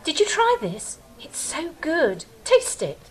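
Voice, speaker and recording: A woman's voice: high voice, wildly swooping pitch, takes it slowly, slight background noise, full character voice, poor audio. A woman playing an earnest, wistful angel in an audio drama, speaking in a plaintive, questioning and hopeful way. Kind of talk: theatrical audio drama dialogue.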